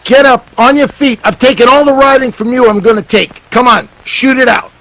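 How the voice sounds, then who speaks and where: A hot-headed young gunman from a 1940s radio play, played by a man. His voice is extremely high and sharp, and he barks aggressively, touchy and threatening. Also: brisk, exaggerated voice, rough recording. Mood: angry, threatening. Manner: barking, aggressive. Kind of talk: 1940s radio drama acting.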